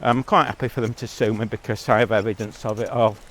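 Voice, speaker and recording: A man's voice: on the low side, steady tone, fast, fairly bright tone. Rambling man talking on a walk. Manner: rambling, digressive, blunt. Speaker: vlogger. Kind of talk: casual rambling monologue.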